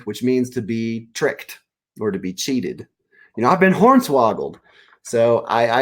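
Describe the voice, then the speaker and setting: A man's voice: mid-range voice, darkish tone, slight background noise. A man, an author, reading aloud and explaining words informally to young listeners at a children's story time. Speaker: author reading own book.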